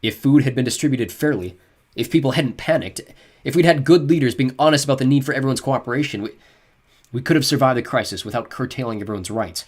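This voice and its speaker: A man voicing a forceful, assured middle-aged father in an audiobook. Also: medium pitch, fast talker, voice pushed up, close to an everyday voice. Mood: earnest. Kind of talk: audiobook character voice.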